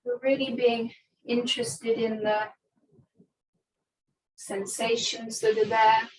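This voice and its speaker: Slow, higher-pitched woman guiding yoga. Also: low-quality recording. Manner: calm, soothing, with long pauses. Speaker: yoga teacher. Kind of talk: guided restorative yoga instruction.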